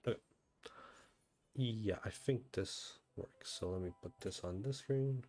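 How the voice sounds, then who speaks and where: A man chatting casually as he livestreams a game, thinking out loud and often asking himself questions. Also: lowish voice, takes it slowly. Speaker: livestreamer, gamer. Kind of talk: casual livestream chat while playing a game.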